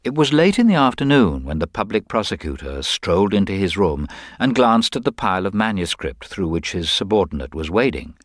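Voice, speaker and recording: A man's voice: low voice, sing-song, brisk, few pauses, no echo, clean studio sound. A man narrating a scripted detective story.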